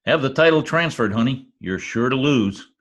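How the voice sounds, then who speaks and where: A man's voice: medium pitch, animated, normal speed, dull audio, heavy background noise, lightly acted. A man playing a glib, wisecracking private detective in an old-time radio drama. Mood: teasing. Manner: glib, bantering.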